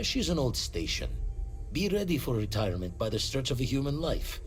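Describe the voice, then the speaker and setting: An actor playing a seasoned, wry space station operator and ex-smuggler in a video game, speaking in clipped, slangy phrases. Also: male voice, lowish voice. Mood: matter-of-fact, weary. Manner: clipped phrasing, dropped subjects, colorful slang. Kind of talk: video game dialogue acting.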